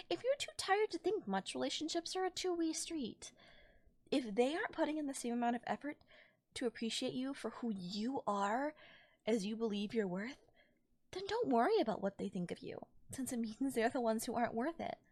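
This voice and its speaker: Affectionate girlfriend, played by a woman, comfort audio roleplay. Lightly high pitch, soothing and intimate, very dry close-mic sound. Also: lively intonation, moderate pace, darkish tone, no room sound. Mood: reassuring. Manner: tender, soothing, coaxing, breathy near-whispers at times. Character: caring, affectionate girlfriend comforting a tired partner. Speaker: voice actor. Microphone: close microphone in a dry space.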